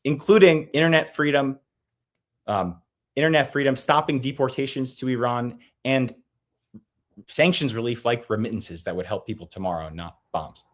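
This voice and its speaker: Earnest male advocate from the US, mid-pitched, quick and stumbling, heard over a video call. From United States. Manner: earnest, rapid, with restarts and nervous laughs. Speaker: advocacy group guest. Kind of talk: interview, political advocacy.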